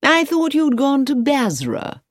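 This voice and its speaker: Lady doing a bossy society matron, blunt n brisk, lively pitch, audiobook character. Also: dry recording, clean studio sound. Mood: brisk, opinionated.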